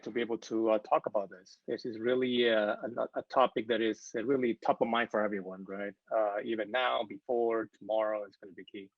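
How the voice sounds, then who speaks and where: A man's voice: medium pitch, no echo, muffled recording. A man, a panelist talking eagerly with many uhs and repeats. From Ecuador. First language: Spanish. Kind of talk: casual panel talk.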